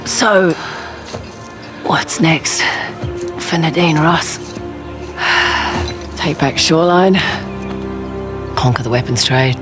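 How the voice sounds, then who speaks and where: Behind very loud music, a cheeky video game treasure hunter. She talks slowly and casually, teasing with a dry, playful wit. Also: low-pitched.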